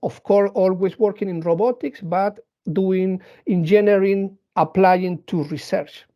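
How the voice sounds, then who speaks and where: A man's voice: high-pitched, unhurried, muffled recording. A man from Venezuela and Colombia, a native Spanish speaker with a Latin American Spanish accent, giving an educational talk to school pupils as a robotics engineer, hesitant with many fillers and restarts.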